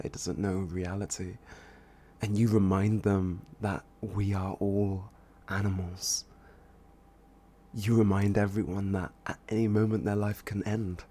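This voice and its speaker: A man playing an obsessed, adoring stalker in a boyfriend ASMR roleplay, with a breathy, husky voice and a halting, pleading, worshipful manner. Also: on the low side, mellow. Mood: hushed adoration. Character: obsessed, adoring young stalker smitten with a killer.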